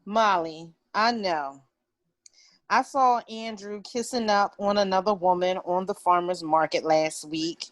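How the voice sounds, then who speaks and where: An actress at a table read playing a candid, sympathetic office colleague. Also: female voice, medium pitch, a little slow, natural voice.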